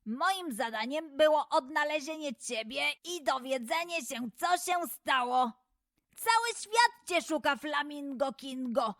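A woman voicing a kind, earnest detective in a children's fairy tale, gentle and encouraging in manner. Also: high-pitched, lively intonation, a little slow, dry recording, clean studio sound, pitched above the performer's own voice, full character voice. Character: kind, earnest detective consoling a runaway flamingo.

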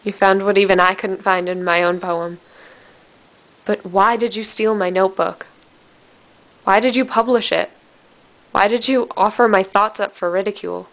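A woman's voice: mid-range voice, fairly even intonation, fairly bright tone, reverberant, natural voice. A teenage girl acting as a bitter, sardonic dead girl narrating confession tapes in a student film. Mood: hurt, accusing. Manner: deliberate, sardonic, dramatic delivery. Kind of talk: scripted dramatic monologue for amateur student film. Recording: hissy, distant amateur room recording.

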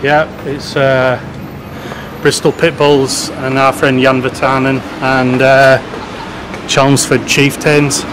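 A man's voice: mid-range voice, flat delivery, slow. A man in his thirties chatting casually with dry humour and trailing fillers on a road-trip vlog. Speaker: vlog co-host. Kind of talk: casual chat. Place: outdoors on streets and by a car. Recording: loud street and traffic noise.

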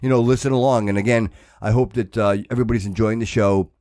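A man's voice: lowish voice, fairly even intonation, fast, a little mellow, no echo, clean studio sound. A man hosting a podcast in loose, casual chat, effusive and often repeating himself. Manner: effusive, repeats himself, says bro and dude. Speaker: podcast host.

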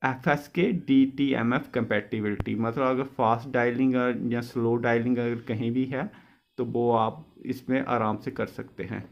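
A man's voice: mid-range voice, fairly even intonation, moderate pace, creaky, dull audio. A man giving an informal product review, explaining by repeating and rephrasing.

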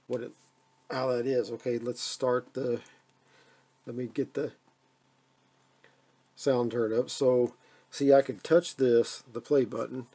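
An American man with a mellow, relaxed voice walks through a piece of equipment in a casual home video. His pitch is average for a man, and he talks without a script, halting and repeating phrases as he goes. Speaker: radio hobbyist demonstrating a receiver. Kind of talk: casual hands-on video demonstration. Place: indoors at a radio desk.

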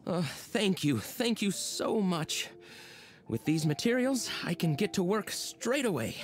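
A man's voice: high voice, big pitch swings, a little slow, faint music behind. A man voicing a nervous, earnest husband in a game, humble and hesitant. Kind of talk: game voice acting.

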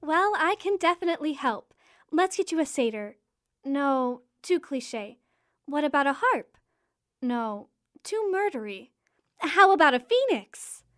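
A woman playing a kindly, quirky goddess queen of spring in an audio drama, nurturing and gently formal. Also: sits high, very animated, a little slow, dry recording, clean studio sound. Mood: brisk, quirky.

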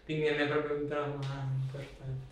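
A woman's voice: a bass voice, monotone, normal speed. A woman in her twenties, a patient answering casually and hesitantly during a treatment. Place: small indoor clinic treatment room. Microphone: camera a few steps away. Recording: very echoey room, faint background noise.

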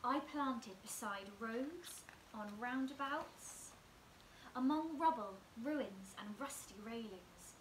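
A young woman in her twenties reading a picture book aloud as a bedtime story, slow and expressive, often dropping to near whispers, recorded at home on a camera in front of her. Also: fairly high pitch, roomy sound, a little hiss or hum, rough recording. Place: small room at home, indoors.

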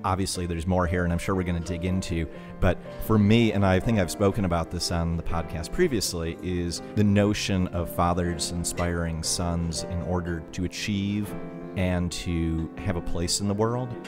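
A man with a very low voice discusses literature as a podcast co-host. His phrasing is reflective and halting, and music plays clearly behind him. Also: steady tone. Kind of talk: conversational literary analysis.

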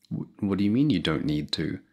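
A man's voice: low-pitched, dark tone. A young man in a boyfriend roleplay, talking to a girlfriend in a playful, laughing, affectionate way, close to the mic.

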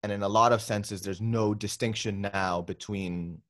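A young man from the Philippines speaks in a fairly low voice, chatting loosely with plenty of ums and restarts. He sounds relaxed and open as he answers interview questions. He is recorded over a video call from his bedroom. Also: moderate pace. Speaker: guest filmmaker. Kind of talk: casual interview conversation. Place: bedroom at home.

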